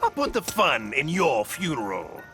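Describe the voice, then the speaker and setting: An actor playing a manic, cackling villain clown, theatrical and mocking, with sinister drawn-out taunts. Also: male voice, on the high side, huge pitch swings, heavily acted. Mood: gleeful menace. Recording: game music behind the voice.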